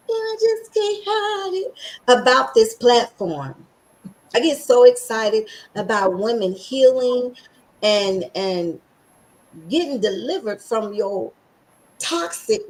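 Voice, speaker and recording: A woman hosting a podcast, with a scratchy voice, talking with excited emphasis and slowly repeating affirmations. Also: high voice. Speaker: podcast host.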